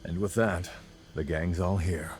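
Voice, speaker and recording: A man's voice: deep voice, fairly even intonation, measured pace, darkish tone, faint music behind. A man playing an easygoing, loyal comrade in a video game, talking in a casual, colloquial way. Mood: relaxed, friendly. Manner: casual, colloquial, laid-back. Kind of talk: video game cutscene acting.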